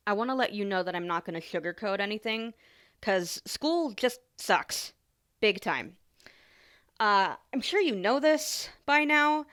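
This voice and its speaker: A woman's voice: mid-range voice, fairly bright tone. A woman playing a caring, teasing young adult older sister in an ASMR roleplay, talking casually and informally straight to the listener. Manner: playful, informal, sighs and small laughs.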